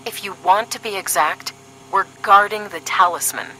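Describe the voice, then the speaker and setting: Woman playing a bright-voiced, sardonic young agent. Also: medium pitch, moderate pace, slight background noise, natural voice, poor audio. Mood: serious. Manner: dry, sarcastic, serious. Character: sardonic, duty-minded young female paramilitary agent, weary sister. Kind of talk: scripted audio drama dialogue.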